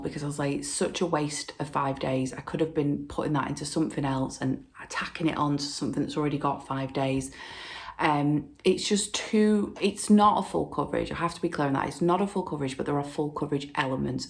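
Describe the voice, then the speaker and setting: A low-pitched woman with a gravelly, hoarse voice from an oncoming cold. She chats casually to camera from home, rambling along with plenty of ums. Her voice sits deep for a woman, with a rough, creaky edge. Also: darkish tone. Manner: chatty and rambling, lots of ums. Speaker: flosstube host.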